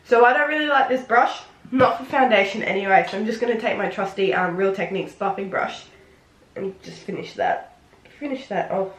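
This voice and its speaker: A woman with a head cold, sounding nasal and sniffly, chatting excitedly through a casual makeup tutorial. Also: medium pitch, fairly quick. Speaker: beauty vlogger. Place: home room. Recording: roomy, echoey room.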